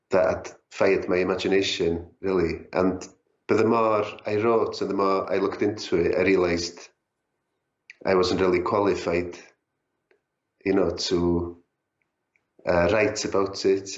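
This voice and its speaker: A man with a North Welsh accent, a musician giving reflective, halting interview answers. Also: low voice. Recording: roomy, dull lo-fi audio.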